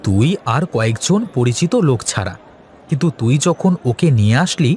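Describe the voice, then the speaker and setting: A man's voice: mid-range voice, animated, moderate pace, a little mellow, a little hiss or hum, close to an everyday voice. A man voicing a practical, placating village trader and husband in a cartoon. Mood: confident, businesslike. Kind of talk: cartoon dialogue acting.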